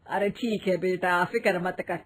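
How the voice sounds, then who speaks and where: A woman playing an older Indian mother-in-law in a comic family story, fussy and scolding yet kindly, with drawn-out exclamations. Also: on the low side, fairly even intonation. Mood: warm, chatty.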